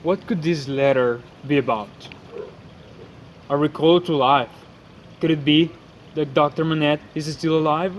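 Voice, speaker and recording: A man playing an earnest, formal gentleman in a scripted amateur dramatization of a classic novel. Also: on the high side, fairly even intonation, unhurried, long pauses, slight background noise, lightly acted. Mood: pondering, urgent. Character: earnest gentleman bearing startling news, formal.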